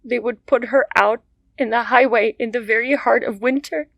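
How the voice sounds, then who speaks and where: A woman's voice: fairly high pitch, fairly even intonation, moderate pace, gravelly, fairly bright tone, no echo, dull audio. An actress playing a desperate young mother in an audio drama, pleading and tearful. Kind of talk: radio drama acting.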